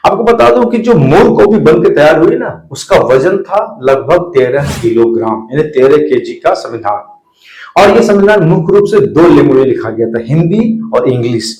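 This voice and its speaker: A man's voice: on the high side, darkish tone, slight background noise. A man presents an explainer to viewers in an emphatic, didactic way, addressing them directly. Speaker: video presenter. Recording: echoey room.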